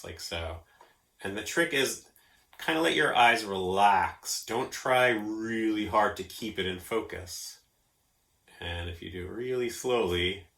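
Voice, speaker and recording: Bright-voiced man giving an unhurried drawing demo. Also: fairly low pitch. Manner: informal, playful, simple explanations for children. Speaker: host of a children's drawing segment. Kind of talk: casual step-by-step drawing demonstration.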